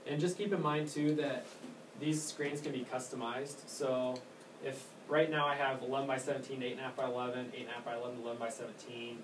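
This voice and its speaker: A man giving informal hands-on training as a technician, talking casually and haltingly and often trailing off. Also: mid-range voice, steady tone. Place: indoor room at an elementary school. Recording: distant mic, echoey room, clear background noise.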